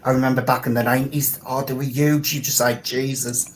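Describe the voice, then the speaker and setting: A woman's voice: very deep voice, little pitch movement, a little fast, a little hiss or hum. A woman co-hosting a live chat, joking and teasing. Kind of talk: casual live-stream chat.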